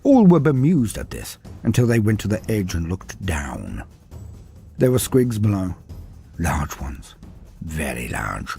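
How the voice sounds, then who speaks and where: A man narrating a scripted story in a dramatic, animated way. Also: low voice, a little slow, gravelly, soft background music.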